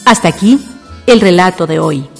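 Lively-pitched woman narrator. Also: moderate pace, gravelly. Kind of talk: scripted dramatic narration for radio. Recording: long reverb over music.

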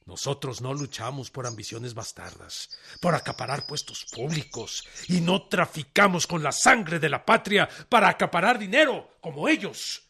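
A proud revolutionary general in a radio drama. His Mexican-accented voice is husky and swings widely in pitch as he declaims with defiant, oratorical force. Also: expressive, normal speed, few pauses, bright, forceful tone, exaggerated voice. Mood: impassioned, indignant.

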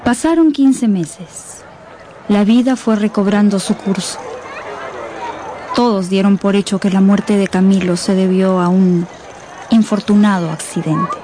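A woman's voice: medium pitch, mellow, slight background noise, natural voice, poor audio. A woman narrating a tragic radio drama as a solemn storyteller, in scripted dramatic style.

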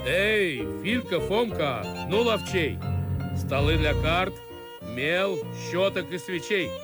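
A bustling head servant in a radio play, kinda high voice, barking orders over string music. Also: male voice, animated, takes it slowly. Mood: bossy urgency. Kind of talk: classical verse radio drama acting.

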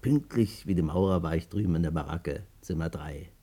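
An actor playing a chatty, plain-spoken, good-hearted barber in a colloquial, familiar manner in a 1950s German radio play. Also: male voice, sits low, fairly quick, dark tone, husky. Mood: confiding.

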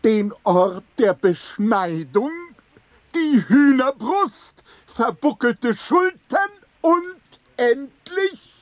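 Cruel jeering ringleader in a radio drama, talks slow and pompous, fake polite, dry close sound. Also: male voice, extremely high pitch, lively intonation, dry recording, full character voice. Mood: gleefully contemptuous lecturing. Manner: mock-polite lecturing, pompous and taunting. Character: jeering, sadistic antisemitic bully, leader of a rowdy men's group. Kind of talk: German radio play acting.

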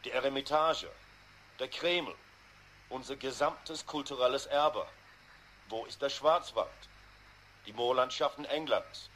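An actor as a grave male radio commentator with a mid-pitched voice. His delivery is measured and bitter, in eighties radio play style, with faint noise behind. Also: a bit bright, lightly acted, rough recording. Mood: accusing, mournful. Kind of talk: 1980s radio drama, measured broadcast commentary.

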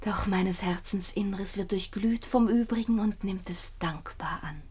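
Radio drama role: devoted noble lady, formal and gracious, mid-pitched, unhurried delivery. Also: a little mellow, breathy edge. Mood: gracious, tender. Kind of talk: German radio play, classical stage acting.

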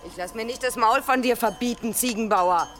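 A woman playing a fierce, blunt village widow in a radio drama, forceful and coarse, over faint music. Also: fairly high pitch, fairly quick, lightly acted. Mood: indignant, defiant. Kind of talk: German radio drama acting.

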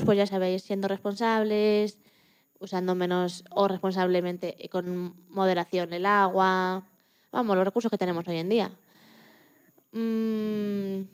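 A woman's voice: medium pitch, a little mellow, clear, resonant tone. A woman speaking as an interview guest, explaining things in her answers.

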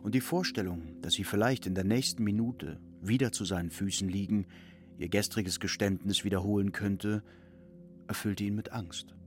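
A man's voice: on the low side, moderate pace, darkish tone. A man narrating a literary audio drama from a script. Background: music.

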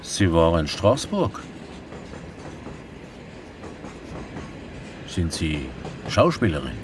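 A chatty, whimsical stranger in a radio play. His deep voice swings widely as he rambles and asks questions, with clear train noise behind. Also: very animated, measured pace. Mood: inquisitive. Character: chatty, inquisitive, whimsical man striking up conversation. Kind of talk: radio drama dialogue. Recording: loud train noise in background. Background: rumbling train.

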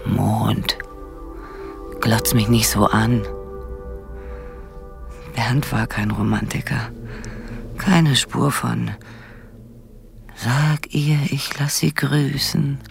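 A brooding, abandoned wife in a radio drama monologue. She murmurs slowly to herself with a gravelly creak over clear background music. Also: very deep voice, steady tone, heavily acted. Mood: brooding, bitter. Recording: music behind the voice.

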